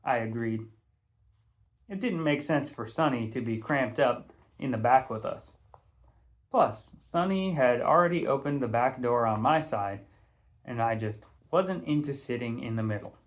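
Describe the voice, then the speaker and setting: A man narrating a first-person audiobook in a conversational, expressive storytelling manner. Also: medium pitch, measured pace. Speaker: teacher reading a novel aloud.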